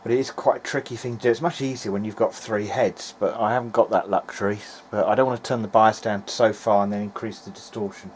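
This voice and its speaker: Man, lowish voice, hobbyist repairer, informal commentary, hesitant, thinking aloud, faint background noise. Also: fairly even intonation, fast talker, hardly any pauses. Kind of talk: informal video diary narration while testing. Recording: clearly noisy amateur recording.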